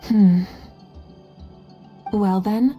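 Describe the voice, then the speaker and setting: A woman voice acting a composed, courteous warrior heroine in a video game, measured and polite. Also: mid-range voice, steady tone, dull audio, faint music behind.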